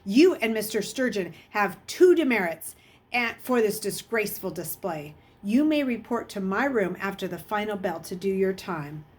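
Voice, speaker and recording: A woman voicing a stern, dismissive male schoolteacher in an audiobook, with a clipped, formal, booming manner. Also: animated, normal speed, slightly rough. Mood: stern, snapping.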